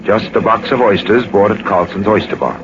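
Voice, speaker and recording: An actor playing a suave, commanding gentleman detective in a 1940s radio drama, speaking with assured authority. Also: fairly low pitch, fairly quick, lightly acted. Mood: calm, explanatory. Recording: noisy, dull 1940s radio recording.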